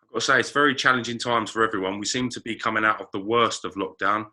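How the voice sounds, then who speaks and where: A man hosting an interview, asking steady, leading questions about his guest's past. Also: on the low side, quick pace. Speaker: interviewer, host. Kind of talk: podcast interview.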